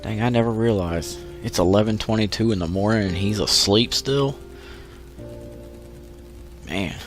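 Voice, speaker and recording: A man's voice: lowish voice, a little slow, soft background music. A man with a stuffy nose from allergies, giving laid-back, joking commentary over gameplay. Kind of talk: let's play commentary.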